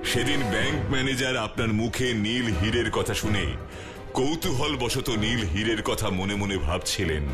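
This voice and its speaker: A man voicing a strong, clever cartoon superhero detective who explains things in a measured, assured way. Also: mid-range voice, lightly acted. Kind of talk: cartoon dub, explanatory dialogue.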